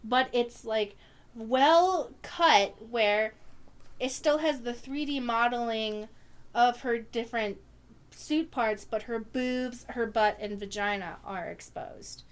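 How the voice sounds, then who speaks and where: A woman co-hosts a podcast in casual conversation. Her voice is small and babyish, pitched somewhat high. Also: slow pace. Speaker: podcast co-host.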